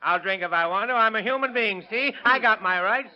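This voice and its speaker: A man's voice: sits high, fairly quick, lightly acted. An actor playing a meek, bitter man turned menacing, in theatrical 1930s radio drama style. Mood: drunken, defiant. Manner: theatrical, defiant. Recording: muffled vintage radio recording with music.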